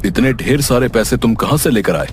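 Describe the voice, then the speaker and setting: A man voicing a hardworking, reproachful elder brother, blunt and disapproving, in an animated moral story. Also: medium pitch, a little fast, a little hiss or hum, natural voice.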